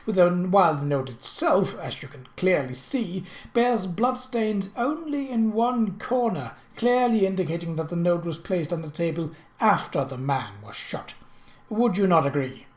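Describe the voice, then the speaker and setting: A narrator voicing an imperious, mocking British prince in an audiobook, pompous and theatrical, with deliberate, drawn-out emphasis. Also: mid-range voice, expressive, reverberant, pitched above the performer's own voice, close to an everyday voice. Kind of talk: audiobook character voice.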